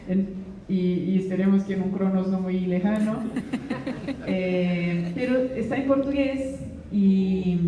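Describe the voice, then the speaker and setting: A woman's voice: medium pitch, mellow. A woman moderating a university event, making hesitant announcements to the audience. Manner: hesitant, many fillers. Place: university lecture hall. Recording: distant echoey hall with audience.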